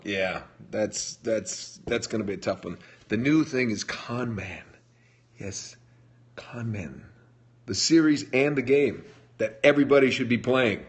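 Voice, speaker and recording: A man's voice: medium pitch, husky. A middle-aged man hosting a casual live stream, chatty and jokey, reading fan comments aloud and answering them. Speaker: livestream host answering fan questions. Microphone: phone livestream.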